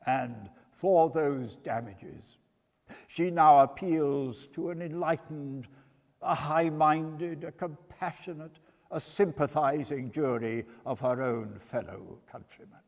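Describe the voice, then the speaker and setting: A man's voice: on the high side, takes it slowly, creaky, exaggerated voice. A man playing a pompous prosecuting barrister in a stage reading, with theatrical, emphatic and grandiose delivery. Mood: grand indignation. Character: pompous male prosecuting barrister orating at a jury. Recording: reverberant church hall.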